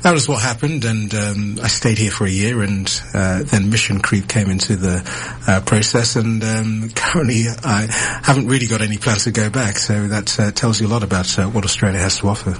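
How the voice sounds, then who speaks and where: A man from the UK with a British accent, a vascular surgeon speaking as a radio guest in a studio, measured and polite with frequent fillers. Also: fairly low pitch, breathy edge, low-quality recording. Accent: British English. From born in Ethiopia, raised in the UK. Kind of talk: interview guest.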